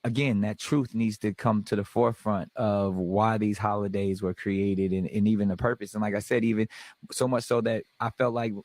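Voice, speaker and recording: A man's voice: lowish voice, flat delivery, moderate pace, a little mellow, dry recording. A man hosting a casual conversation who reflects aloud, restarting phrases and often backing his guest with short affirmations. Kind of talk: casual chat.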